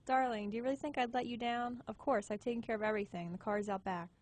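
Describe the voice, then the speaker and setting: A woman's voice: on the high side, fairly even intonation, quick pace, a little mellow, lightly acted. A woman playing a sweet-talking, scheming gangster's wife in a crime audio drama. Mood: adoring, sly.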